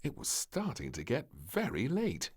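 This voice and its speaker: Slow, hugely expressive male storyteller. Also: medium pitch, husky. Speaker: storybook narrator. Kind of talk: expressive scripted children's story narration.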